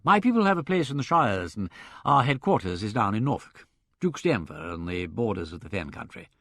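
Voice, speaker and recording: A man voicing a wry, understated aristocrat, speaking lower and more quietly. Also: big pitch swings, a little fast, no echo. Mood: dry. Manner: dry, cautious, understated. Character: urbane, wry English aristocrat sleuth.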